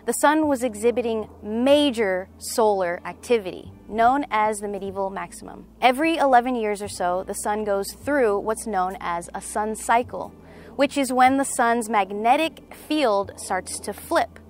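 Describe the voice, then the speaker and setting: A young woman presenting scripted documentary narration in a measured, explanatory manner. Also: mid-range voice, faint music behind. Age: young adult.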